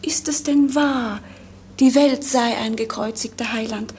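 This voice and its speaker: An actress playing a melancholy, dreamy young princess in a 1950s German radio play, with lyrical, pensive delivery. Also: female voice, a little high, fairly even intonation, a little slow, a little mellow, close to an everyday voice. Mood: anguished, pleading. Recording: noisy 1950s radio recording.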